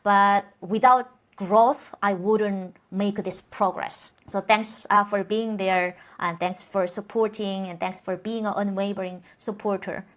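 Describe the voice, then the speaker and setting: A woman from North Korea with a Korean accent, a non-native English speaker, hosting an interview in careful, halting English with frequent restarts. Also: mid-range voice, steady tone. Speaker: host. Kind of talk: conversational interview.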